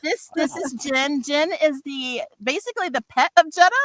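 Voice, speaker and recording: A woman's voice: high-pitched, normal speed, a loud crowd. A middle-aged woman chatting casually on a livestream panel as a voice actor, candid and slangy with self-deprecating humor. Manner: informal slangy phrasing.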